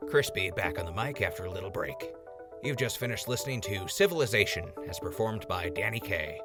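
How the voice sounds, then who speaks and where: A man's voice: deep voice, expressive, a little fast, over loud music. An actor playing a laid-back male radio DJ in a post-apocalyptic wasteland, chatty and rambling. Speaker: radio host character. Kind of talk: audio drama acting.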